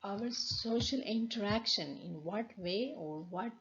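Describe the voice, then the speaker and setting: A woman's voice: medium pitch, unhurried. A woman with a Pakistani accent, a university lecturer explaining over slides, with many restarts and fillers. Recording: dull lo-fi recording.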